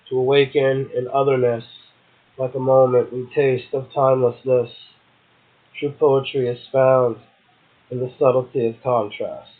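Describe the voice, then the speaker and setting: A man, a guest poet, reading verse and chatting casually. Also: mid-range voice. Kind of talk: poetry reading and casual chat. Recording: tinny phone line.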